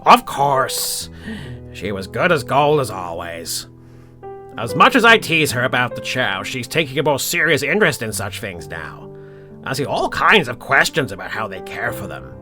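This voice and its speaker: Guy as a friendly croc, playful n fond, very animated, forceful bright voice, audio drama. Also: medium pitch, moderate pace, faint music behind.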